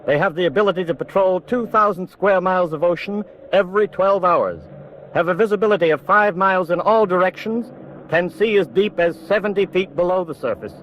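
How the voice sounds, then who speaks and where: An actor playing a precise, authoritative announcer in a wartime documentary radio drama. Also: male voice, high voice, a little fast, muffled recording, a little hiss or hum, lightly acted. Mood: factual. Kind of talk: formal documentary radio narration.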